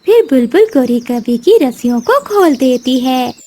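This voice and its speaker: A woman's voice: sits high, expressive, moderate pace, mellow. A woman narrating a scripted children's cartoon story.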